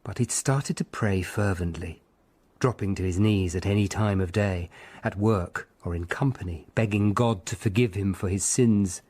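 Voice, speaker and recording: An actor playing a grave, observant Tudor lawyer who narrates his own story in measured, literate phrases for a radio drama. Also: lowish voice, a little mellow, breathy edge, dry recording. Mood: sombre. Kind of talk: scripted first-person radio drama narration.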